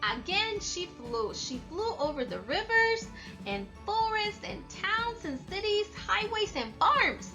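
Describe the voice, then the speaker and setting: Woman, high-pitched, unhurried, children's read-aloud and lesson, faint music behind. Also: big pitch swings. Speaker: read-aloud storyteller and teacher.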